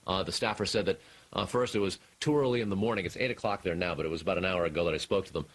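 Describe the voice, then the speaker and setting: A man with an American accent reporting live as a television news correspondent, deliberate and emphatic. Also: low voice.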